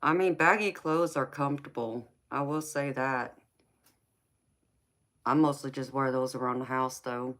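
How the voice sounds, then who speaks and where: Woman in her forties, tarot reader, relaxed and slow-spoken, chatting back to viewer comments. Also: rumbling low. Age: 40s. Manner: easygoing, answers viewer comments by name. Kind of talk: casual live-stream chat with viewers.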